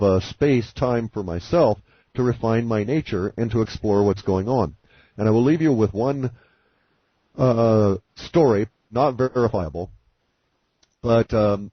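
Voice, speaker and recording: A man with a low, husky voice speaks as a guest on a conference call. He delivers a reflective monologue in formal, wordy phrases, halting and deliberate. The sound is dull and slightly muffled. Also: no room sound. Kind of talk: long reflective spoken monologue on spiritual ideas.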